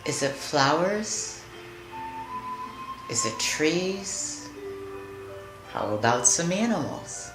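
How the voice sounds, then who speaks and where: Very low-voiced woman guiding a meditation. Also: sing-song. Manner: soothing, deliberate, with long pauses. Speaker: guided meditation leader. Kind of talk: guided meditation narration. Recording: roomy echo with music behind. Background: music.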